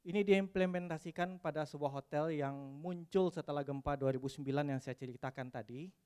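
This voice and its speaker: A man from West Sumatra giving a technical conference presentation, pausing often with "eh" and dropping in English terms. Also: fairly high pitch, a little mellow, smooth. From West Sumatra, Indonesia. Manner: hesitant, frequent "eh" fillers, mixes in English terms.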